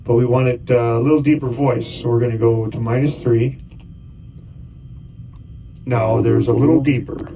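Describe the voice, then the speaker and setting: A man's voice: medium pitch, fairly even intonation, normal speed, pauses often, mellow, a little hiss or hum, low-quality recording. A man giving a casual step-by-step tutorial, thinking aloud as he presses buttons and talking in loose, rambling phrases. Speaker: costuming hobbyist giving a tutorial.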